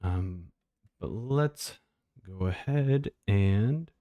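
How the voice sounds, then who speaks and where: A man's voice: fairly low pitch, expressive, drawn-out words, dull audio. A man chatting casually and thinking aloud as a live streamer reacting to game trailers. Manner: rambling, informal, thinking aloud.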